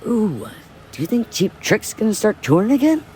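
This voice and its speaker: A sassy, carefree woman tour operator played by an actress with a mid-pitched, very lively voice. She banters breezily in an audio drama, with faint traffic noise behind her. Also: normal speed, lightly acted. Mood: breezy, bossy. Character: brash, easygoing, reckless woman tour operator. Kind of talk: horror audio-drama dialogue.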